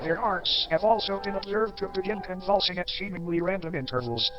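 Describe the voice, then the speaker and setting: A man reading scripted horror narration like a documentary, in measured, formal wording with wry asides. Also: sits high. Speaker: video narrator. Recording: dull lo-fi audio under loud music. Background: music.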